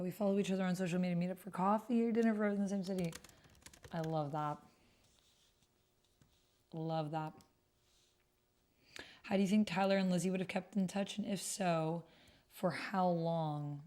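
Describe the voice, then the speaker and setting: A woman's voice: fairly low pitch, fairly even intonation, normal speed, pauses often, mellow. A woman streamer chatting casually and thinking aloud as she hosts an online roleplaying game, sometimes muttering to herself. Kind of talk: casual livestream chat while playing.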